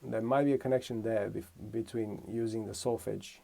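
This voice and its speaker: A man's voice: lowish voice, steady tone, moderate pace, creaky, warm tone. A man from Romania giving a casual music lesson, thinking aloud and correcting himself as he explains. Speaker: music teacher.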